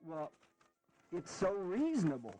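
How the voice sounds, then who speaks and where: An actor playing a skeptical, wry gentleman of the founding era in a historical audio drama. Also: high-pitched, wildly swooping pitch, heavily acted. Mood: amused skepticism. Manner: wry, unhurried.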